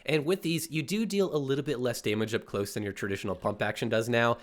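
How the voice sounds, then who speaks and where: A man's voice: mid-range voice, fast. A congested man hosting a gaming channel, explaining weapon stats in upbeat, chatty commentary. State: congested, clearing his throat. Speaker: gaming YouTuber host. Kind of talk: casual gameplay commentary.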